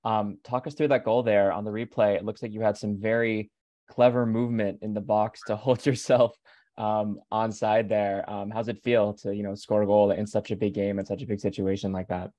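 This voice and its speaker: A man's voice: on the low side, level tone, normal speed, dark tone, no room sound. A man asking a question as a sports reporter at a press conference, heard through dull lo-fi audio.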